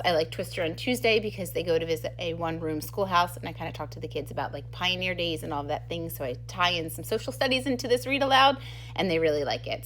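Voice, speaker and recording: A middle-aged woman with a slightly low voice chats casually and eagerly about books like a schoolteacher. She has an enthusiastic, giggly way of talking. The recording carries a faint humming noise. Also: a bit bright. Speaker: second grade teacher presenting book recommendations. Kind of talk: casual book talk to camera.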